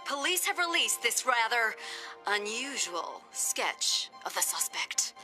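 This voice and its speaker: Earnest TV news reporter, piercingly bright, fairly high voice, formal, emphatic, cartoon bulletin. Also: female voice, very animated, takes it slowly, faint music behind, full character voice, rough recording. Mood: urgent, official. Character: earnest local TV newsreader, faintly squeamish.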